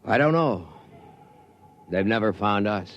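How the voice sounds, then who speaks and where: An actor playing a sober, guarded spaceship officer in a 1950s radio drama, humane and reflective. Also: male voice, on the low side, lively intonation, measured pace, muffled recording. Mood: reflective, subdued. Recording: noisy vintage radio recording.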